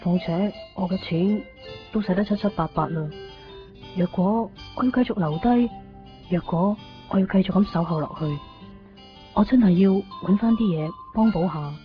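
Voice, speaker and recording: A woman's voice: medium pitch, moderate pace, natural voice. An actress with a Hong Kong accent playing a wistful, devoted young woman searching for someone in a radio drama. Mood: wistful, determined. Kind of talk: radio drama first-person narration and dialogue. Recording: dull 1990s radio recording, faint music.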